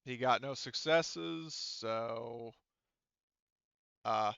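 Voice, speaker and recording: A man casually running an online tabletop game as game master, halting, giggly and sweary, on a slightly muffled close mic. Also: mid-range voice, creaky, fairly bright tone, a little hiss or hum.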